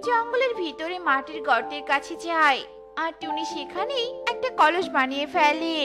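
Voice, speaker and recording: A woman's voice: very high voice, expressive, normal speed. A woman narrating a scripted children's cartoon story. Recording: loud music over the voice.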